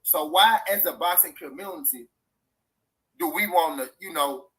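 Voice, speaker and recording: A man's voice: on the high side, moderate pace, a bit bright, low-quality recording. A man hosting a boxing channel live stream, talking loosely and profanely and repeating himself. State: says he is high. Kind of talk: casual live-stream talk.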